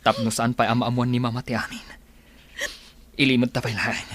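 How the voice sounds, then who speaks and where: A man's voice: medium pitch, little pitch movement, fairly quick, fairly bright tone, close to an everyday voice. An actor with an Ilocano accent playing a secretive, evasive young businessman, earnest and placating, in a radio drama. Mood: troubled, tender.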